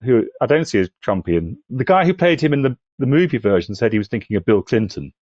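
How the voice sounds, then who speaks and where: A man's voice: medium pitch, big pitch swings, fast talker, a little mellow, dry recording, lo-fi sound. A man on a podcast panel, discussing and analysing casually in a tentative, searching, self-correcting way.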